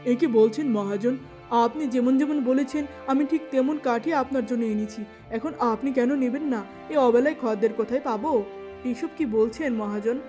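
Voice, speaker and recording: A woman's voice: fairly high pitch, moderate pace, dark tone, soft background music, natural voice. A woman voicing a poor, weary middle-aged father in a cartoon moral tale, pleading and plaintive.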